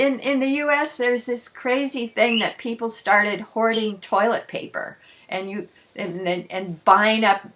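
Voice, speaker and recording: A woman interviewer asks curious, probing questions in a conversational tone. Her voice sits at a middle pitch, and she sometimes stumbles and restarts a phrase. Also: fairly bright tone.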